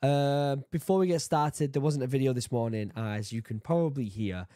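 A man's voice: medium pitch, moderate pace, warm tone, no echo, clean studio sound. A man in his thirties with a sore throat, chatting casually as he reacts to a video at home. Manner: chatty, jokey, laughs at himself. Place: home room with bookshelves. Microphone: studio mic on boom arm, headphones.

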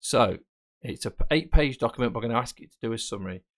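A man presenting a screen-recorded software tutorial, explaining casually and thinking aloud with fillers. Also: mid-range voice, lively intonation, fast talker. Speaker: tech presenter.

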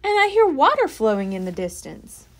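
An earnest little boy sheep from a storybook, played by a woman reader. He talks in a fussy way, fairly high, with pitch that moves a lot. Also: huge pitch swings, normal speed. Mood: content. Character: earnest gadget-loving young male sheep.

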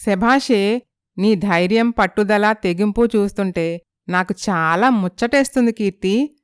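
A woman playing a sympathetic, gently persuasive young female friend in an animated moral story. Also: medium pitch, a little slow, smooth, dry recording, clean studio sound, close to an everyday voice. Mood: supportive.

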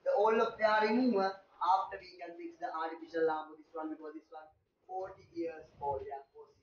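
Man guiding in halting English, distant. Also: a little high, fairly even intonation, highs cut off, a little hiss or hum. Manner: halting non-native English with many fillers. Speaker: tour guide at a turtle hatchery. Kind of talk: guided tour explanation. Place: open-air turtle hatchery by the tanks. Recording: distant, roomy, music laid over.

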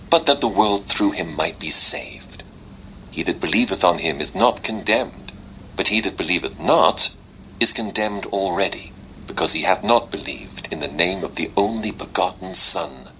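A man giving a solemn, measured and dramatic scripture reading, played back through a small portable speaker. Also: sits low, animated, bright, forceful tone. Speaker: audiobook narrator. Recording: small device speaker playback, noisy room.

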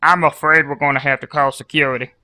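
An actress playing a stern, official amusement park employee in an audio drama. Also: female voice, low voice, steady tone, quick pace, pushed and bright. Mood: officious.

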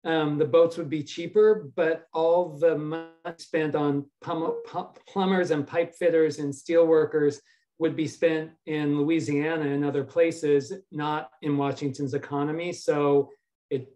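An older American man in his sixties with a fairly high-pitched voice answers questions at an online public meeting. He explains in a measured, halting way, speaking as an official over a video call. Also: fairly even intonation, warm tone. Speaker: state ferries official at a town hall.

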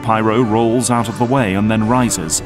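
A man's voice: fairly low pitch, fairly even intonation, moderate pace, over music. A man narrating an action audio drama from a script.

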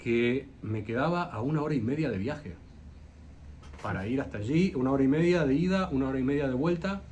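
An Argentine man, a luthier, recounts his path at length over a video call, leaning on hesitant fillers as he goes. His voice sits at an ordinary middle pitch. A faint hiss runs beneath it. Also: fairly quick, a little mellow. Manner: hesitant, frequent "eh" fillers, rambling anecdotes. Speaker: luthier guest. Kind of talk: casual interview storytelling.

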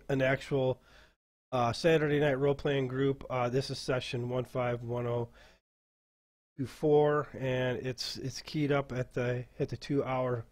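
A man teaching a casual software class as a dungeon master, rambling and thinking aloud in a halting way. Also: mid-range voice. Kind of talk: casual screen-share tutorial, off the cuff.

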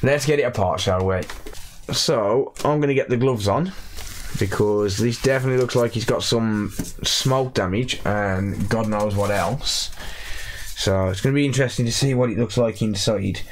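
A young man with a slightly raspy voice from recent illness, chatting casually as he repairs a console in his workshop. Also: lowish voice, moderate pace, a little hiss or hum. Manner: chatty, self-deprecating, thinks aloud while working. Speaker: electronics repair YouTuber. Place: cluttered repair workshop, indoors.

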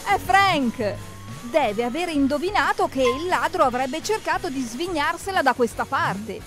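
A woman narrating a cartoon for children in an expressive, exclamatory storytelling manner. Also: sits high, measured pace, over music.

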